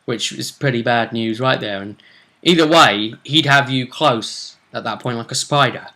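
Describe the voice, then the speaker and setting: Casting: male game master, sharp bright tone, average pitch, casually narrating tabletop events in fragments. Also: moderate pace. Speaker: game master recapping the session. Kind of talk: casual tabletop role-play recap.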